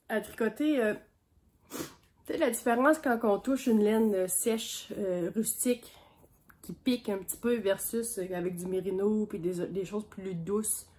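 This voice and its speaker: Québécois woman vlogger, has a cold, stuffy and unhurried, rambling show-and-tell. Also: medium pitch, darkish tone. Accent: Québécois French. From Quebec. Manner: chatty, meandering, homespun. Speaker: knitting vlogger on a sheep farm. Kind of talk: casual show-and-tell chat.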